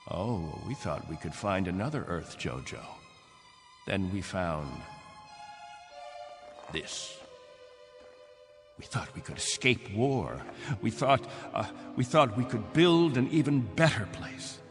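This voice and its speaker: An actor playing an aging, wistful spaceship captain, speaking deliberately in a dramatic radio-play style. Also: male voice, lowish voice, expressive. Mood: regretful, weary. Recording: long reverb, music underneath.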